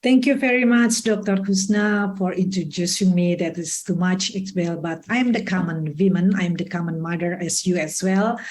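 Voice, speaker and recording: A woman from Indonesia with an Indonesian accent, speaking as a panelist in a halting conference presentation with non-native English phrasing. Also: on the low side, a little mellow. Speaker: conference panel speaker, social economy advocate.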